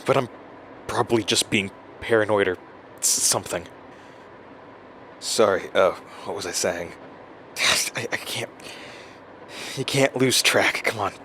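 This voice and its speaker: An actor in an audio drama playing a rattled, self-doubting man who records a halting, stammering journal, talking to himself. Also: lowish voice. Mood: paranoid. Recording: steady noise, like inside a moving car.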